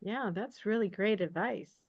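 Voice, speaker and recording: Female interviewer, mid-pitched, conversational, on a slightly muffled, dry lo-fi recording. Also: a little slow, no room sound. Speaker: podcast host interviewing a guest. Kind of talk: casual interview, brief supportive responses. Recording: dull lo-fi audio, dry.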